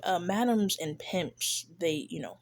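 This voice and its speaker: A congested, stuffy-sounding speaker talks slowly through a reaction commentary. The delivery is shy and hesitant, full of fillers and restarts, at a middling pitch. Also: animated. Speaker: reaction video creator. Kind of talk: casual commentary on a played clip. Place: lives in Texas.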